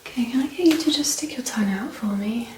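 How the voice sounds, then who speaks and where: A woman speaking softly and gently, often near a whisper, as a doctor in an ASMR medical exam roleplay. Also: a little high, fast talker. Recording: roomy echo, distant mic, clear background noise.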